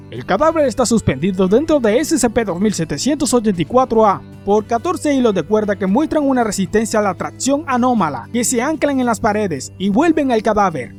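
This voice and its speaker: A man's voice: high-pitched, darkish tone. A man reading scripted narration in the manner of a formal report for a horror fiction channel.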